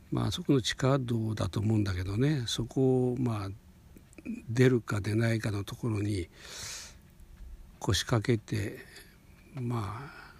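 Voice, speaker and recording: An elderly man in his eighties from Hokuriku, Japan, recounting personal memories in an unscripted, halting, meandering way with a husky, gravelly voice. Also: medium pitch. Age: 80s. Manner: halting, meandering, with sentence-final softeners.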